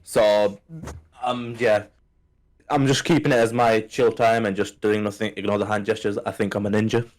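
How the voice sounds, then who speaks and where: A man's voice: fairly low pitch, level tone, moderate pace, low-quality recording. Playful man from Hong Kong. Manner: playful, joking. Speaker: podcast co-host. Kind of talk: casual podcast chat.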